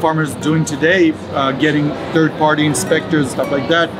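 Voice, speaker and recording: A man's voice: a little high, steady tone, normal speed, loud music behind the voice, poor audio. A man in his thirties, a native Hebrew speaker, explaining his company's product in an interview at a trade show booth, with many fillers and restarts. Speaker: startup executive.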